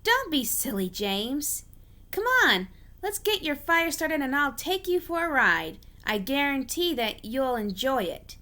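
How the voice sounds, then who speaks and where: A confident, teasing male tank engine from a children's story, as a woman reader puts him on. The voice is bright and raised, with a coaxing, cheerful slyness. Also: high voice, big pitch swings, unhurried, heavily acted.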